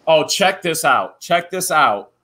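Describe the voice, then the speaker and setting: Guy doing a fan mail vlog, bright punchy voice, kinda high, goofing around reading letters. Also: takes it slowly. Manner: animated, jokey, reads letters aloud. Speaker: channel co-host. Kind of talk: casual chat opening fan mail.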